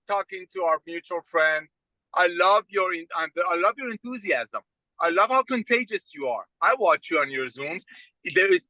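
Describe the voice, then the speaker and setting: A man's voice: sits high, dry recording, lo-fi sound. A man giving a fervent motivational talk on a call, preaching to his audience. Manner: fervent, preachy, addresses "ladies and gentlemen". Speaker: company leader on a call. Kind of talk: motivational speech.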